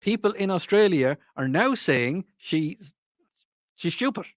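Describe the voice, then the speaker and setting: A man's voice: sits high, animated, a little slow, dry recording, studio quality. An Irish man hosting a talk radio show, rambling and repeating himself with a mocking tone and frequent laughs. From Ireland. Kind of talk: casual talk radio monologue.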